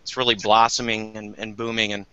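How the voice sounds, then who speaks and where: American guy, super bright punchy voice, excited and chatty, slightly lo-fi call audio. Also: fairly low pitch, fairly quick, clean tone, no echo. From United States. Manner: enthusiastic. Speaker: teacher and podcaster, panel guest. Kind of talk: informal conversational introduction. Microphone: online video call. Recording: slightly dull online video call.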